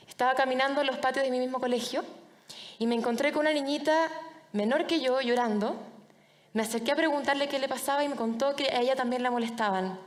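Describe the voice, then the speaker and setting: Young chilean woman giving a personal talk, highish voice, big echoey hall, pauses for effect. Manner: deliberate, dramatic pauses in short phrases. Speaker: public speaker, anti-bullying foundation director. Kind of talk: personal storytelling talk to an audience. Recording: reverberant large hall.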